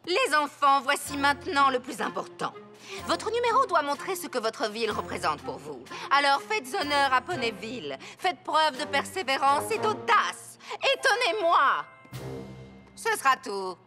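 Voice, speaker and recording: A prim, strict cartoon schoolmistress pony. Her high voice swoops dramatically through pompous, formal phrases. Music plays underneath. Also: very animated, a little slow. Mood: rousing, solemn. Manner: formal, pompous, fussy articulation. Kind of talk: animated cartoon voice acting.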